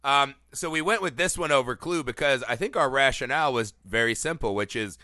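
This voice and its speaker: A man hosting a casual film podcast, animated and teasing, a little sniffly from a cold. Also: mid-range voice, brisk, no room sound. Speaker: podcast host. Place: Los Angeles area.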